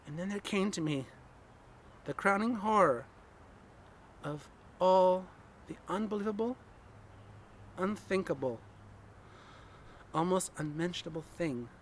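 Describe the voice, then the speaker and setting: A man's voice: high voice, a bit bright, a little hiss or hum. A man giving a theatrical horror reading as a frantic, haunted man recounting a graveyard ordeal, drawn out and intense. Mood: haunted dread. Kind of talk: dramatic reading of a horror story.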